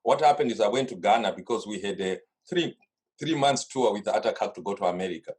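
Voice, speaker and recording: A man's voice: lowish voice, animated, quick pace, darkish tone, slightly rough. A middle-aged man from Zimbabwe with a Zimbabwean accent, talking casually and at length in an interview over a video call. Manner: rambling storytelling, many restarts. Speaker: carnival organiser, guest. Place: home studio desk.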